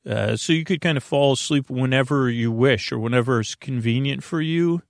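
A man hosting a sleep podcast, talking in a meandering, halting way, often restarting sentences and answering imagined objections. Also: medium pitch, vocal fry, no echo, studio quality. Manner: voices imagined listener objections and answers them. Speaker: podcast host. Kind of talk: rambling, unscripted sleep podcast introduction.

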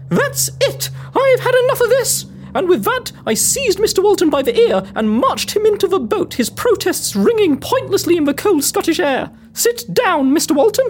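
Pompous older lady explorer, played by an actress, high and very animated, comic period logbook, noisy recording. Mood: indignant. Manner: pompous, indignant, dramatic. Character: haughty, indomitable older Victorian lady adventurer. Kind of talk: spoken logbook narration, comic period drama.

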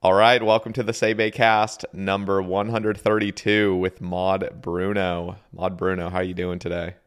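A man with a very low voice hosts a podcast. He sounds croaky, as if he just woke up. He chats loosely, full of fillers and restarts. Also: moderate pace. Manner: relaxed, rambling with fillers and restarts. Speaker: podcast host. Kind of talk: casual chat.

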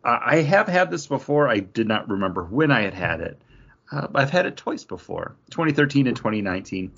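A man's voice: mid-range voice, big pitch swings, a little fast, vocal fry, a bit bright, slightly rough. A man chatting casually as a podcast host, giving his take on a drink. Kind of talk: casual chat, beer review. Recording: slightly dull, lo-fi recording.

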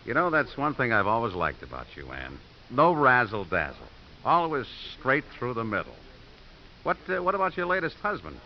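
A man's voice: mid-range voice, wildly swooping pitch, slight background noise. An actor playing a cynical, wisecracking American divorced father with a dry, sardonic manner in a 1950s radio drama. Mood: cold, wry. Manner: dry, sardonic, hesitant pauses. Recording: muffled 1950s radio recording.

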